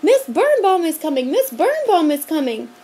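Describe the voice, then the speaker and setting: A woman's voice: very high voice, big pitch swings, normal speed, warm tone, pitched above the performer's own voice. A woman voicing a frightened young schoolgirl in a storybook reading, urgent and breathless. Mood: alarmed.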